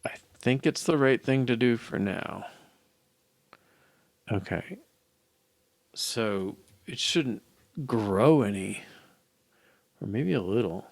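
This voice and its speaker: A man's voice: lowish voice, expressive, slow pace, vocal fry, pauses often, no room sound. An older man thinking aloud as he programs, in a casual, hesitant mutter to himself. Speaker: programmer live-coding a mobile app. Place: small indoor room at a desk.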